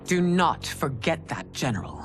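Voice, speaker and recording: Low-voiced, commanding regal empress. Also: female voice, big pitch swings, unhurried, over loud music. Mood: stern rebuke. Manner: formal, authoritative. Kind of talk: video game cinematic acting.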